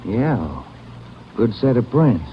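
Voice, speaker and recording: An actor playing a detective partner who grumbles and cracks dry jokes, in a 1940s radio drama. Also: male voice, mid-range voice, very animated, slow pace, a little hiss or hum. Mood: grumbling. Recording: dull 1940s radio broadcast.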